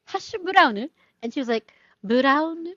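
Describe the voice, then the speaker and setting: Lively woman telling funny stories. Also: high-pitched, takes it slowly, lo-fi sound, a little hiss or hum. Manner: animated storyteller, acts out quoted voices, laughs often. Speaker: podcast co-host. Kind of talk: casual chat.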